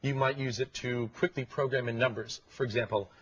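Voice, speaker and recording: A man's voice: mid-range voice, fairly even intonation, brisk, a bit bright, breathy edge. A man speaking as a guest expert, explaining things in an interview. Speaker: guest expert on speech recognition. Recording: dull lo-fi broadcast audio.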